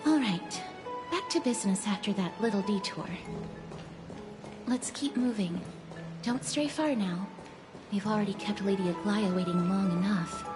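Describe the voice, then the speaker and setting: An actress playing a gentle, practical female travelling companion in a video game. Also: medium pitch, moderate pace, long pauses, natural voice. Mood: brisk, friendly. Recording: game music behind.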